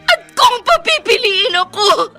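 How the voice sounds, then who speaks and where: A woman's voice: very high voice, sing-song, unhurried, exaggerated voice. An actress playing a troubled lady employer in a Tagalog radio drama. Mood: tearful defiance. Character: troubled lady of the house, employer with a painful past.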